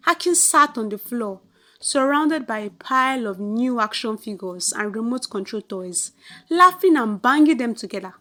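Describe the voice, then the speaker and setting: A woman with a Nigerian accent narrating a folktale in an expressive, theatrical, scripted storytelling style. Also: a little high.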